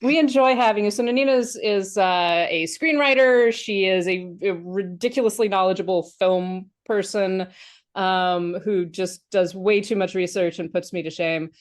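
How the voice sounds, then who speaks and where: Woman, 30s, podcast host, casual chat, middle pitch, lots of fillers and restarts. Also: normal speed. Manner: chatty, laughs often, many restarts and fillers.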